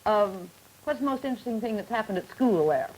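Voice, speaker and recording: A woman moderating a 1950s television discussion, asking students brisk, polite questions on old television audio. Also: medium pitch, animated, darkish tone, husky, poor audio.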